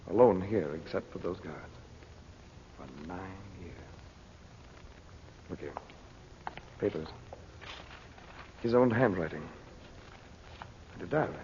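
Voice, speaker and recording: A man playing a calm, cautious uncle in a 1940s radio adventure, speaking in a measured, commanding way. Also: low-pitched. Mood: grave, subdued. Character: calm, cautious uncle leading his young nephew through ruins. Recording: muffled, noisy old radio recording.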